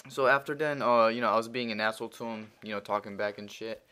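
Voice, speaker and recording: A teenage boy with a Russian accent, speaking in a fairly low, smooth voice. He rambles through a crude, swear-heavy story with lots of ums and uhs, as casual commentary over a video game. His voice gets louder as the tale turns heated. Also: fairly even intonation, fast talker. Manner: crude, profane, rambling with many fillers; grows louder and heated while retelling the argument. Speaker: gaming commentator.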